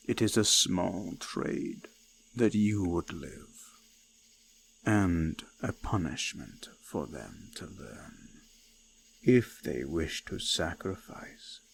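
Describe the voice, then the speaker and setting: Very slow, gravelly forest god. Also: male voice, sits low, a bit bright, a little hiss or hum, heavily acted. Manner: slow, deliberate, archaic phrasing, often sinking to whispers. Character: ancient eldritch forest god, male, menacing, slow, hungrily curious. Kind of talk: scripted immersive roleplay monologue, folk horror. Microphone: close binaural microphone.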